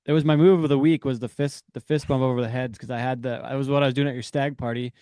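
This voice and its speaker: A man's voice: mid-range voice, fairly even intonation, dark tone, clean tone, dry recording. A man co-hosting a podcast, joking through casual chat. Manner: quick, joking, full of fillers. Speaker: podcast co-host.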